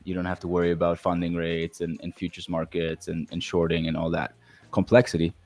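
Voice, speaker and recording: A man on a casual podcast, explaining his product with relaxed restarts. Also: sits low, fairly quick. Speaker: guest, crypto founder.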